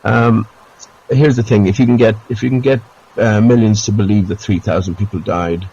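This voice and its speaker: A man's voice: lowish voice, steady tone, normal speed, mellow, muffled recording. A man debating casually in a rambling, repetitive and dismissive way.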